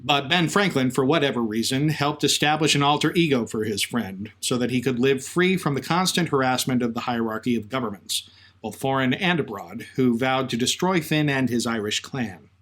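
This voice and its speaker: A man's voice: medium pitch, animated, normal speed, a bit bright. A man co-hosting a podcast in a conversational, semi-scripted storytelling style. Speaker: podcast co-host.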